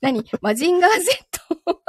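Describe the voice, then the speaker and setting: A woman's voice: extremely high pitch, big pitch swings, fairly quick, darkish tone, no echo, clean studio sound. A woman chatting casually on a live stream as its host, often laughing. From Yokohama area, Japan. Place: indoors in a sound-absorbing foam-lined booth.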